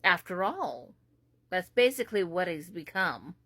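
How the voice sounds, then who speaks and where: A woman from the United States speaks in slow, drawn-out phrases, heavy with sarcasm and disbelief. Her voice sits in a middle register. The recording is dry and close. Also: on the low side, very animated, pushed and bright, no room sound. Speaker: commentary host. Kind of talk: political commentary.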